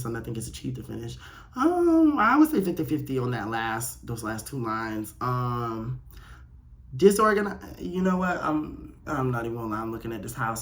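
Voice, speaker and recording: A man's voice: medium pitch, fairly bright tone. A man hosting a casual solo podcast, reading aloud and reacting in a candid, sassy way with playful swearing. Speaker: podcast host. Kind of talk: casual chat reacting to a quiz read aloud.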